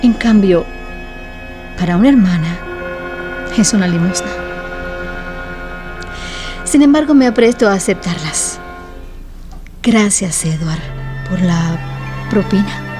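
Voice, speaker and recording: A woman's voice: medium pitch, lively intonation, takes it slowly, long pauses, music in the background. An actress playing a witty, proud woman novelist of modest means in a radio drama, speaking with articulate irony. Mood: bitter irony. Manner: ironic, articulate.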